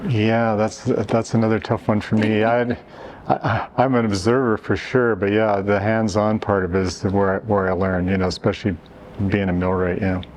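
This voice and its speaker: A man's voice: on the low side, little pitch movement, moderate pace, a little mellow, a little hiss or hum. A middle-aged man from Canada answering interview questions casually, hesitant and full of restarts and fillers. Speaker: interviewee, event organizer.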